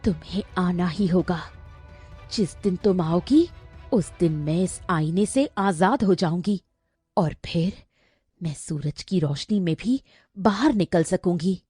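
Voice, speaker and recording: A woman voicing a cunning, vengeful, ageless sorceress princess in an animated fairy tale. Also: fairly low pitch, slow, breathy edge, soft background music. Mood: vengeful longing.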